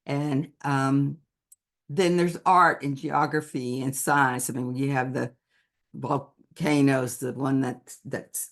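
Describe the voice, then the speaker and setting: Slow, thoughtful woman explaining to teachers. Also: rumbling low. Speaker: picture book author presenting to teachers. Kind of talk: online presentation to educators.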